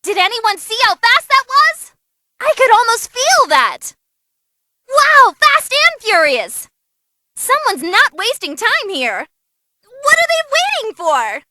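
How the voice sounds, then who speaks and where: A young female ringside announcer from a fighting game. Her voice sits extremely high and very bright, swinging widely as she commentates. She is bubbly, theatrical and teasing, with an open, gleeful taste for brutality. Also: no room sound, full character voice. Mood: excited.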